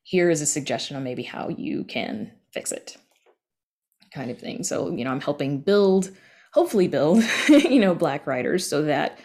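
A woman's voice: deep voice, big pitch swings, moderate pace, darkish tone. A woman talking casually as an interview guest, chatty and peppering her explanations with little conversational asides. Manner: chatty, frequent "you know" asides. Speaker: interview guest, podcast creator and story editor.

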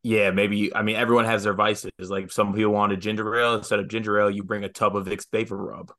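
Easygoing low-voiced podcast co-host man. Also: level tone, quick pace, fairly bright tone. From Michigan. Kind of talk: casual sports podcast banter.

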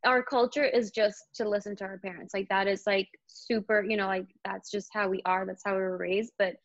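A woman's voice: medium pitch, level tone, a little fast, dry recording. A young woman in casual conversation about her acting work, talking in a loose, chatty way with lots of "like.". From India. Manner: chatty, fillers like "like". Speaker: actor guest. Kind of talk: casual chat. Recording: slightly dull, lo-fi sound.

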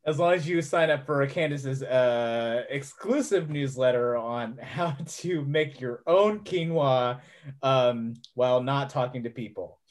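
A man hosting a relaxed podcast interview, trading jokes with his guest and filling gaps with affirming mm-hmms. His voice sits at an ordinary middle pitch. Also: unhurried. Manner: jokey, lots of affirming mm-hmms. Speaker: podcast host. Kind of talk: casual interview chat.

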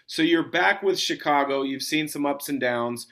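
A man's voice: on the high side, normal speed, a bit bright. A man hosting a sports podcast, chatting casually as he interviews a guest.

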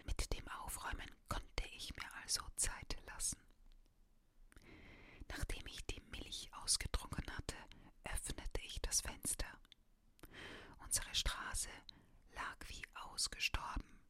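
Female reader, whispered ASMR storytelling; slow delivery, bright timbre. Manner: soft unvoiced whisper.

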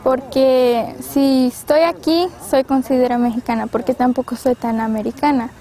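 A woman's voice: high-pitched, fairly even intonation, moderate pace, mellow, soft background music. A woman answering a street interview in a casual, plain-spoken way.

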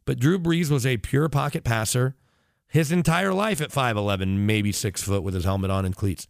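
A man's voice: mid-range voice, moderate pace, creaky, no echo, clean studio sound. A man talking as a sports radio analyst in casual conversation, opinionated and emphatic, often digressing as he makes his points.

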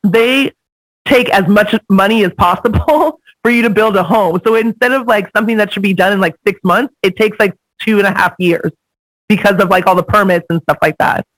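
A man from California hosting a podcast, chatting in an animated, easygoing way. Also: high voice, fairly quick, dry recording, low-quality recording. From California, United States. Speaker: podcast host. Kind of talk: casual podcast conversation.

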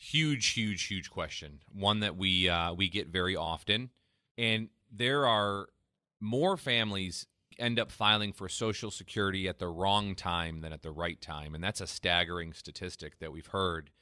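Bright-voiced male radio finance host. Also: moderate pace, no room sound, studio quality. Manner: persuasive sales pitch, then explanatory. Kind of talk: radio talk show with call-in pitch.

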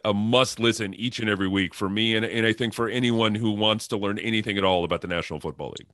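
A man hosting a sports podcast, interviewing conversationally and somewhat haltingly, often repeating words as he goes. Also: on the low side, fairly bright tone, no echo, studio quality.

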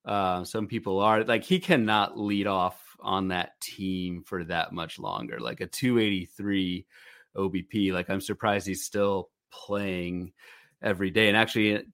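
Low-voiced man in casual sports chat. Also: unhurried, creaky, a bit bright, no room sound, studio quality. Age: 30s. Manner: thinking aloud, many fillers and restarts. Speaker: podcast co-host. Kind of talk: casual fantasy baseball chat.

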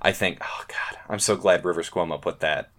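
A deep, low-voiced man hosting a laid-back podcast chat. He rambles along with lots of fillers and restarts, thinking out loud as he goes. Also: normal speed. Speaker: podcast host.

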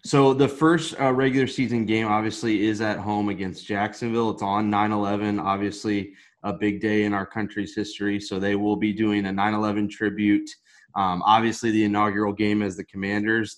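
Low-voiced upbeat sports podcast host. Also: male voice, level tone, fairly quick. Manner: upbeat, steers the talk with questions. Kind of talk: casual sports talk.

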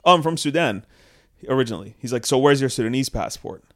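A man's voice: mid-range voice, expressive, fast. A young man from Canada, co-hosting a podcast, telling a long anecdote in casual chat, laughing often and quoting people. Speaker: podcast co-host.

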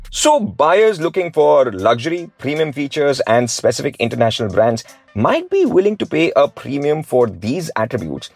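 Male podcast host, Indian accent, very animated pitch, slightly husky, Hinglish phrasing, scripted but conversational. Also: no echo. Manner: mixes Hindi phrases into English.

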